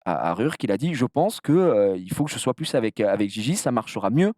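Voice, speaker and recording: Fast, very animated Frenchman. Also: mid-range voice, no echo, studio quality. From south of France. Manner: animated, slangy, crude swearing. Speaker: streamer being interviewed. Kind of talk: casual chat, telling anecdotes.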